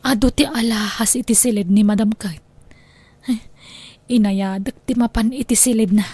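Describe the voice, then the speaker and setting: Woman acting sly high-voiced thieving housemaid. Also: steady tone, moderate pace, dark tone, slightly rough, no echo. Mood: furtive. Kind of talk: radio drama acting.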